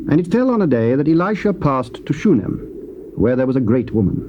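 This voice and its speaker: A male narrator delivers scripture in a measured, solemn reading. His tone is mellow and dark, and the sound comes from a muffled old cassette with faint hiss. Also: mid-range voice, sing-song.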